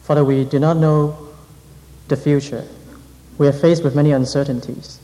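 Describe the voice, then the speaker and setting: A man leading a congregation in earnest, measured prayer. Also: on the high side, steady tone, warm tone. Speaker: interpreter and prayer leader. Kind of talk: interpreting a sermon, then leading prayer. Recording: reverberant hall.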